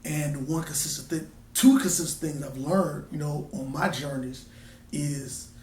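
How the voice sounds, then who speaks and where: A man's voice: a little high, a little slow, darkish tone. A young man, talking casually to his viewers in a laid-back way. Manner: laid-back, repeats "man" and "you know what I'm saying". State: hoarse. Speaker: vlogger. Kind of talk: casual monologue to camera. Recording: roomy, from a distance.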